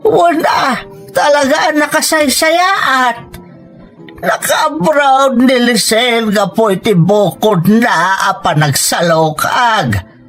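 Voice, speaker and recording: A woman's voice: fairly high pitch, lively intonation, takes it slowly, fairly bright tone, soft background music. A woman playing a hurt, scolding old grandfather in a dramatized family story. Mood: reproachful. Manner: scolding, emphatic. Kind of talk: dramatized Ilocano family radio-style story.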